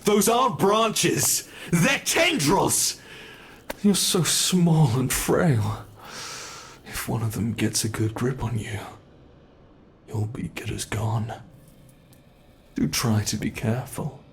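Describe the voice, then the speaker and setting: Slow gravelly predatory monster. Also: male voice, mid-range voice, a little hiss or hum. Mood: gleeful, taunting. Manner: theatrical, taunting, slow and relishing. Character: gleeful predatory male monster from under the bed. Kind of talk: ASMR horror roleplay, addressed to the listener.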